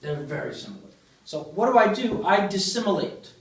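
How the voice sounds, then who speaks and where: A high-voiced man teaches a university class, patiently building up an argument and checking in with students through questions. He is captured from across a very echoey lecture hall, sounding distant from the mic. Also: expressive, fairly quick. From Greece. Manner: explains step by step, asks the class questions. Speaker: linguistics lecturer. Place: large lecture room.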